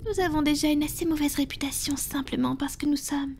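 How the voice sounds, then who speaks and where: A woman voicing a tender, affectionate female vampire in a soft, breathy, intimate whisper for an ASMR roleplay. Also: high voice, steady tone, fairly quick, slight background noise. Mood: calm, confident.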